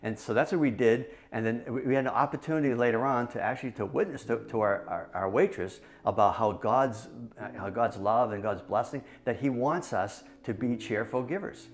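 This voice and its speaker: A man in his forties from New Hampshire, a church member and small business owner, telling his testimony in a video, halting with many restarts. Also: medium pitch. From New Hampshire, USA. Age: 40s.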